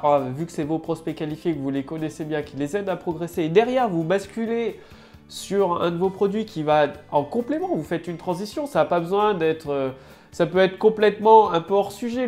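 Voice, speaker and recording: A woman's voice: on the low side, brisk, darkish tone, faint music behind. A woman with a head cold giving a casual marketing tutorial to camera, loose and full of fillers and restarts. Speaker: online marketing coach.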